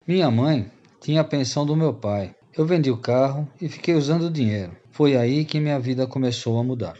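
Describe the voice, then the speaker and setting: Actor as mellow Brazilian man confessing a roguish past. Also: medium pitch, fairly quick, lo-fi sound, lightly acted. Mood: confessional. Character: repentant rogue and former freeloader confessing to friends. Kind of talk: radio drama storytelling.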